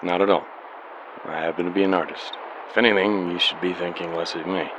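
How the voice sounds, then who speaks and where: A man's voice: low-pitched, steady tone, brisk, lo-fi sound, close to an everyday voice. A man playing an earnest, lovestruck young painter in a radio play, plain-spoken and sincere. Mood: dryly playful. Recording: faint background hiss.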